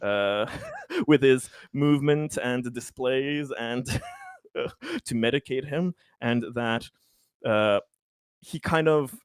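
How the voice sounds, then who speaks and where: A man's voice: mid-range voice, huge pitch swings, smooth, no echo, studio quality. A man playing a tabletop roleplaying game, improvising his character's actions in wordy, stammering narration. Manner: halting, stammering, wordy delivery.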